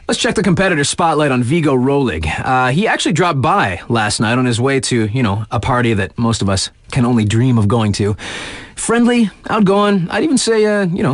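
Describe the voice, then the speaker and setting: A man's voice: mid-range voice, no echo, close to an everyday voice. A man playing a hip, laid-back radio DJ in a video game, giving casual, slangy sports patter with playful conversational asides. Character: hip, laid-back male radio DJ covering snowboard races.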